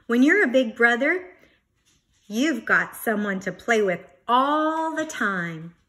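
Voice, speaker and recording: A woman's voice: on the high side, expressive, slow, a bit bright. A woman voicing a curious, wistful young boy turtle in a children's storybook, admiring and inquisitive.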